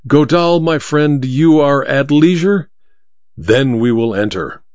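A man voicing a vain, theatrical blind stage magician, speaking high and showy. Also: animated, takes it slowly, darkish tone, no echo, muffled recording. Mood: grandly bored.

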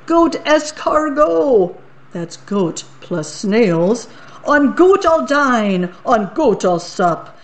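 A woman voicing a hungry, boastful male troll from a storybook, gloating in slow sing-song rhymes. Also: fairly high pitch, sing-song, pitched above the performer's own voice, heavily acted. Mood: gleeful.

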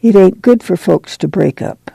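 A woman voicing a gentle, protective country mother in a storybook reading, with a folksy, reassuring manner. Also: on the low side, expressive, moderate pace, vocal fry, husky, dry recording, heavily acted, rough recording. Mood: tender.

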